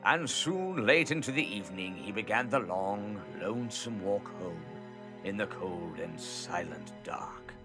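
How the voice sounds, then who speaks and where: A man as a solemn storybook narrator with a low voice. He phrases each line dramatically and with measure, while music plays clearly behind him. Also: animated, a little slow. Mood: solemn, foreboding.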